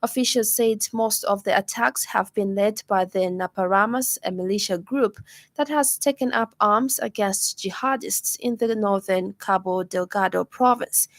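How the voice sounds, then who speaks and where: A woman's voice: mid-range voice, normal speed, dry recording. A woman with a Zambian accent reading a scripted radio news bulletin. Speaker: news reader.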